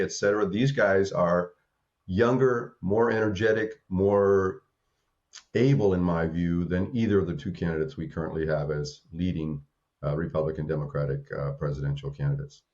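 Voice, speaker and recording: A man in his seventies, a voter giving his view in measured, halting sentences. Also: low-pitched, darkish tone, highs cut off. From Southern California. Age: 70s. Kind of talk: interview clip.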